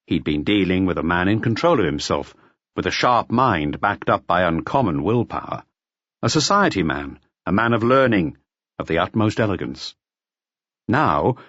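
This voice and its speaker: A man's voice: sits low, fairly bright tone, no echo, lo-fi sound. A man reading a mystery audiobook as a scripted narration. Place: close, dry recording.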